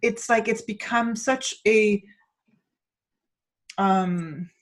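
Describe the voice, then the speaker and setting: A woman from Denmark hosts a conversational podcast interview at a middling pitch. She thinks out loud, circling and rewording as she hunts for the right phrase. Her delivery is hesitant and rambling. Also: drawn-out words. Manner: hesitant, rambling, searching for words, thinking aloud.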